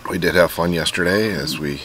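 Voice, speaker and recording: A man with a very deep voice chats through a camping vlog with dry, deadpan jokes. He talks into a lapel mic outdoors at a campground, with faint open-air noise behind him. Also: a little fast. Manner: dry joking, low-key in the morning. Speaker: vlog host. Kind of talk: casual vlog chat. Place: outdoor campground under a canopy.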